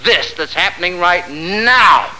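A man's voice: high voice, expressive, slow, pushed and bright, slight background noise, exaggerated voice, low-quality recording. A man playing a defensive, restless husband in a spoken-word story, insistently coaxing and rallying his gang of pals.

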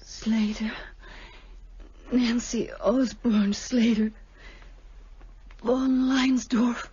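An actress playing a frail, distressed young woman under hypnosis in a radio drama, answering haltingly and faintly. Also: on the high side, fairly even intonation, dark tone. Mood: dazed, faint. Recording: dull radio recording, faint noise.

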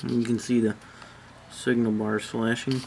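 A man giving casual, off-the-cuff commentary, thinking aloud in a halting, puzzled way. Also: mid-range voice, flat delivery, gravelly, a little mellow. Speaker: vlogger, weather radio hobbyist. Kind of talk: casual off-the-cuff commentary while demonstrating gadgets. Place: small room indoors. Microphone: handheld camera microphone. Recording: very noisy camera audio, radio static.

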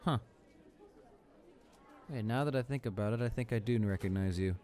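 A man's voice: mid-range voice, normal speed, dark tone, a faint crowd, natural voice. A young man playing an arrogant, irritable student bully in an improvised audio roleplay, with a smug, dismissive manner. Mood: smug, condescending. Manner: smug, dismissive, muttering to himself.

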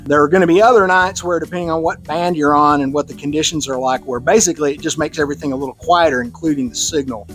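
A man with a Texan accent talks through a hands-on build in a loose, friendly way, fillers and restarts and all. His voice sits a little high for a man. Faint music runs underneath. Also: quick pace, few pauses. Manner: relaxed, conversational, with fillers and restarts. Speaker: club presenter on radio antennas. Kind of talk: casual technical presentation. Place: club meeting room.

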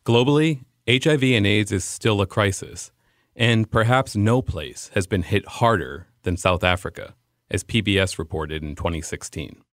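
A man's voice: on the low side, normal speed, a bit bright, dry recording, studio quality. A man with an American accent hosting a podcast, reading scripted narration. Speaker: podcast host.

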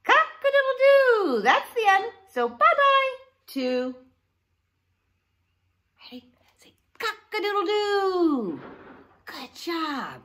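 A woman's voice: very high voice, big pitch swings, takes it slowly, long pauses, fairly bright tone. A middle-aged woman leading an interactive read-along for young children, with an exaggerated sing-song delivery. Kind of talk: interactive picture-book reading for young children. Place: living room at home, indoors.

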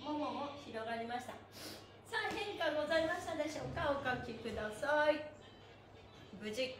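A high-voiced middle-aged woman leads an exercise class with animated, encouraging calls and chants. Her phrasing is playful and polite. She is recorded at a distance in an echoey room, with some noise behind her. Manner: cheerful, encouraging, playful polite phrasing with honorifics for body parts; animated, calls out while moving through exercises. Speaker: stretch class instructor. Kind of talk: upbeat exercise instruction with counted chants. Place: small bare indoor room with mat. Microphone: camera a few metres away. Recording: roomy, distant mic with background noise.

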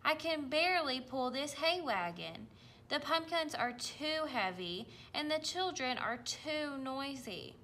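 Woman voicing a small fretful high-pitched tractor. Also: lively intonation, slow, bright, forceful tone, a little hiss or hum, exaggerated voice. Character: small male gray tractor, timid, fretful, loves quiet.

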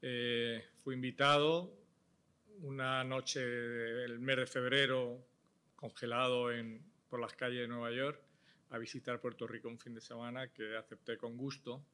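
A man, a transplant surgeon recounting his story at a panel, measured and thoughtful, pausing often on "eh". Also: medium pitch, level tone, bright, forceful tone, clear, resonant tone. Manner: measured, thoughtful, with frequent "eh" pauses. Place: indoor panel stage before a logo backdrop. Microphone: table microphone on a stand.

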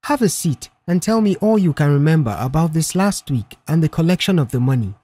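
Audiobook role: reserved young male mechanic, measured and matter-of-fact, husky, read by a narrator. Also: mid-range voice, lively intonation, mellow, no echo. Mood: serious, probing.

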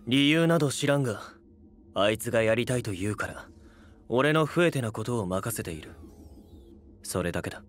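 An actor playing a cool, curt young warlord in an anime audio drama, dry and matter-of-fact. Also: male voice, medium pitch, lively intonation, moderate pace, long pauses, slight background noise, natural voice. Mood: dry, aloof. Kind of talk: Japanese anime voice drama acting.

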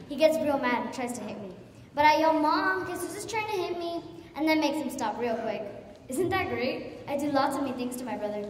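Brief: actress as a smug, teasing kid sister, high and bright, staged in an echoey hall heard from afar. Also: female voice. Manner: teasing, smug, sing-song. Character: mischievous bratty young girl, gleeful little sister. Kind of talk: stage monologue. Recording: echoey hall, distant mic, faint noise.

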